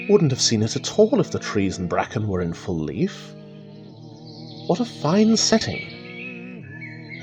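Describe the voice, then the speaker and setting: An actor playing a chatty, wry country rambler who thinks aloud, musing and exclaiming in old-fashioned idiom, in a radio drama monologue. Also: male voice, mid-range voice, a little mellow. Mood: curious, admiring. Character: chatty male country rambler talking to himself, wry and excitable.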